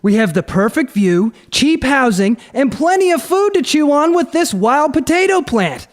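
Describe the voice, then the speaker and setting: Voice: high voice, very animated, normal speed. An actor playing a commanding, theatrical beetle colonel in a radio drama. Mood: bossy, rallying. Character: bossy Colorado beetle colonel rallying the swarm.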